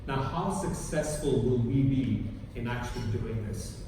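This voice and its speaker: A middle-aged man from Kenya gives a conference talk, stumbling over restarts and fillers. He is picked up from far off in a large hall with a long, ringing reverb. A steady background noise hums beneath his voice. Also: medium pitch. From born in India, grew up in Kenya. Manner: halting, many restarts and fillers. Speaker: conference speaker. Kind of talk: prepared talk to an audience.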